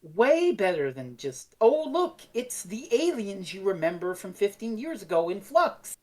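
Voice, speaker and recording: A woman's voice: medium pitch, big pitch swings, unhurried, darkish tone. A woman co-hosting a podcast in casual, reflective discussion, earnest, feeling her way through phrases and sometimes swearing. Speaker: podcast co-host.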